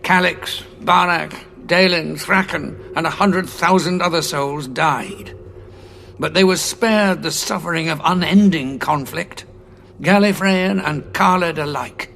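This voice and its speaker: An actor playing a grim, weary time-travelling war veteran who speaks in a cold, measured, self-assured way. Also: male voice, a little high, creaky, pushed and bright, a little hiss or hum, heavily acted. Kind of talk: audio drama acting.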